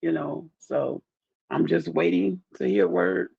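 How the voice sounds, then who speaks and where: A grieving man with a groggy, deep voice, talking in a rambling, heartfelt way as he thanks his viewers in a casual chat. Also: takes it slowly, vocal fry, husky, dry recording, lo-fi sound. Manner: soft-spoken, rambling, heartfelt. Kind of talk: casual direct-to-camera chat.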